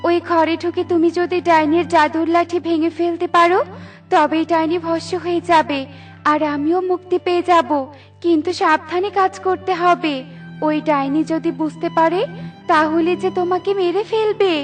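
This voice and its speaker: A woman voicing a gentle fairy in a children's cartoon, reassuring and explaining. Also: very high voice, fairly even intonation, normal speed, faint music behind. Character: gentle, grateful female fairy trapped by a witch.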